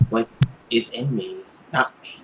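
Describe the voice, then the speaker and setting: A man voicing a brooding, cynical, tormented man in a visual novel, slow, hushed and solemn. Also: medium pitch, steady tone, background noise, natural voice. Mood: brooding, weary. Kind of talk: dramatic visual novel reading.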